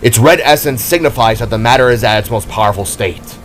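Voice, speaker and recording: A man's voice: medium pitch, a little fast, soft background music, natural voice. A man voicing a stern, knowledgeable older brother, a glowing energy warrior in an audio drama, speaking in a grave, explanatory way. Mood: grave, explaining.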